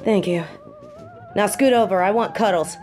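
Woman doing a demon girlfriend, affectionate, weary, soft and close, mellow tone, faint music behind. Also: medium pitch, moderate pace, natural voice. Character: sweet tired female demon girlfriend, affectionate. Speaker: voice actor in an audio roleplay. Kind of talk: intimate audio roleplay.

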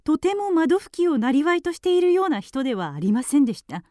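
A high, lively female voice as an earnest little canary in a radio play. She tells her story politely and wistfully, with wide swings of pitch. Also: fairly quick, soft background music. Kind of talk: audio drama storytelling.

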